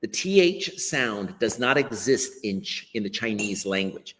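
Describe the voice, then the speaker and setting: Man, Western American accent, middle-aged, animated pitch, earnest, webcam audio, long reverb. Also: unhurried, very noisy. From western United States. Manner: earnest, emphatic with frequent restarts. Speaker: guest, language teacher. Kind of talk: live-stream interview. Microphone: webcam on a video call.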